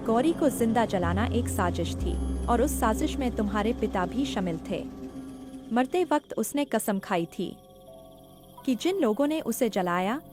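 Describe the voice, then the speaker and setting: A woman voicing an anxious, sorrowful mother, grave and emotional, in an animated horror story. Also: fairly high pitch, a little slow. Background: music.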